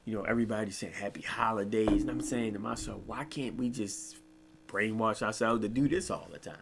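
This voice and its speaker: Gravelly, low-voiced sarcastic man. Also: steady tone, a little fast, heavy background noise. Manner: sarcastic, rambling. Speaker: co-host. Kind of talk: casual late-night chat.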